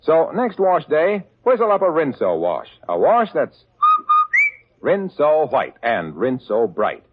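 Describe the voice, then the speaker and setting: A man's voice: a little high, huge pitch swings, takes it slowly, a little hiss or hum. A man announcing in 1940s radio style, with emphatic, folksy salesman patter. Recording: very lo-fi old radio recording.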